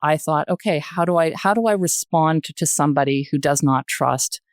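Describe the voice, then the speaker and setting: A woman's voice: low voice, a little fast, no echo, clean studio sound. An older woman speaks as a podcast guest in a reflective, emphatic conversational way, often checking in with "right?". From Canada. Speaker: podcast guest, retired journalist. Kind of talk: conversational interview.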